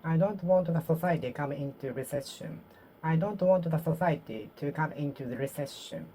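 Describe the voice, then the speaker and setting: A Japanese woman with a Japanese accent practicing English aloud to herself, halting and repeating phrases as she corrects them. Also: low-pitched, dark tone, a little hiss or hum. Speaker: vlogger. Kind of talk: solo English speaking practice, talking to herself.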